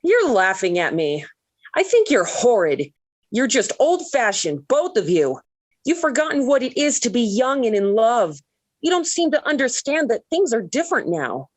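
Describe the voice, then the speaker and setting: An actress playing a dramatic, lovestruck seventeen-year-old daughter, gushing and pleading in 1940s radio sitcom style. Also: female voice, on the high side, big pitch swings, moderate pace. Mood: hurt, dramatic.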